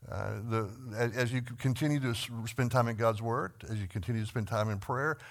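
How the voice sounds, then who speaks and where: A man's voice: mid-range voice, a little fast. A man with a Texan accent leading a church Bible class, talking earnestly with frequent restarts and repeated words. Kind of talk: conversational Bible class teaching.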